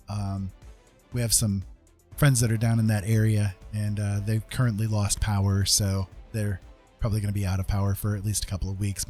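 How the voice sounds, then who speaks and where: A man with a fairly low voice talks casually to a livestream audience, working through his thoughts out loud as he goes. He has an easy conversational way of explaining things, and music plays behind him. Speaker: live streamer and digital artist.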